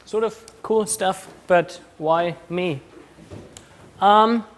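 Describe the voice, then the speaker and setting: A man's voice: high voice, very slow, slight background noise. Austrian man with a Kiwi-tinged accent. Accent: mixed Austrian German and New Zealand. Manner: casual, many ums and restarts. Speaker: conference speaker. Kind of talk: conference talk. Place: conference room.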